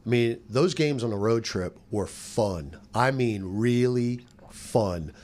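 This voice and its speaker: A man's voice: mid-range voice, lively intonation, takes it slowly, darkish tone. A man who works as a play-by-play announcer, giving casual, emphatic sports commentary in a roundtable chat.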